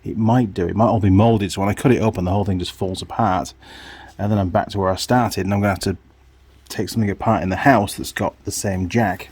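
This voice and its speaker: Husky, low-voiced man muttering through a repair. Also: steady tone, fast talker, a little mellow. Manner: muttering to himself and viewers while working. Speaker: vlogger, hobby mechanic. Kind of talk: casual hands-on workshop commentary.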